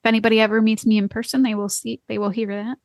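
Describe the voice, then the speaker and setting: Woman w a tiny soft voice, podcast cohost, lots of um and like, dry mic. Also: fairly high pitch, flat delivery, racing, dry recording. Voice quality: small soft voice. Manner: hesitant, fillers like um and like. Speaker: podcast co-host. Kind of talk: casual chat.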